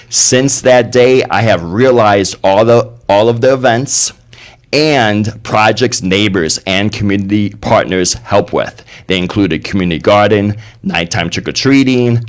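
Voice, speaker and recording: A man moderating a neighborhood candidate forum, introducing himself and explaining the format in a plain, organized way. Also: on the low side. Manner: plain, organized, with occasional fillers. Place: community media center room.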